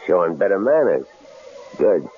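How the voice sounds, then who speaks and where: An actor playing a big, rough, menacing drifter with a coarse, mocking, halting way of talking, in a 1970s radio drama. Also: male voice, low-pitched, very animated. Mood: needling. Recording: dull, noisy old radio broadcast.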